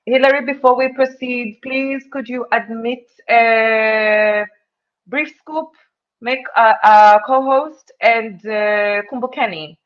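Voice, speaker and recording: A woman's voice: fairly high pitch, steady tone, slow. A woman urging listeners to spread the word before a protest, in an urgent, rallying way with many fillers. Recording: dull lo-fi line.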